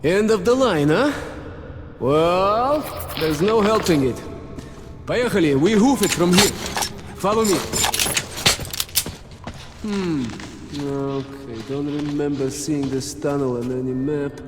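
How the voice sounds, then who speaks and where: Tough loyal game survivor, high voice, blunt and sweary, music blaring behind. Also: male voice, takes it slowly, long pauses. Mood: wary. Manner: foul-mouthed, blunt, urgent. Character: brash, loyal male comrade, tough survivor under fire. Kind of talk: video game voice acting. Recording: loud music over the voice.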